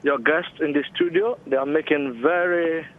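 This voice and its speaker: A man calling a talk show by telephone, giving measured commentary in a formal, deliberate way with pauses. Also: on the high side. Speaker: phone-in caller. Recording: narrow-band telephone line.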